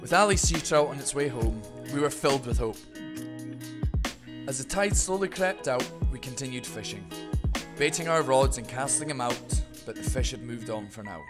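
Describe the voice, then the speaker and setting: A man's voice: medium pitch, animated, moderate pace. Scottish guy doing voice-over for his fishing vlog, dry self-deprecating jokes, guitar music behind. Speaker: fishing guide and channel host. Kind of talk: scripted voice-over narration. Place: voice-over, not recorded on the shore.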